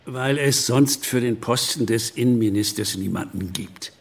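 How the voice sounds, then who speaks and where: An actor playing a persuasive, needling politician who pauses between phrases, in a radio drama. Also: male voice, medium pitch, gravelly, close to an everyday voice. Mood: persuasive, hesitant. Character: smooth-talking, insinuating male politician.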